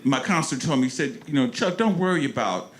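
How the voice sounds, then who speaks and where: An older man from St. Louis, speaking on an alumni panel with many pauses and restarts. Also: medium pitch, expressive, a bit bright. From North St. Louis, Missouri. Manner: halting, many ums and restarts. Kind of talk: reminiscing on a panel. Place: large room at a panel event. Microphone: handheld microphone.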